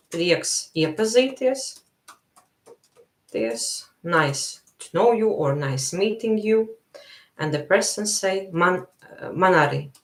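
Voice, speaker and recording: A woman with a Latvian accent teaching a live language lesson, slowly repeating phrases for her learners. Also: on the low side, roomy sound.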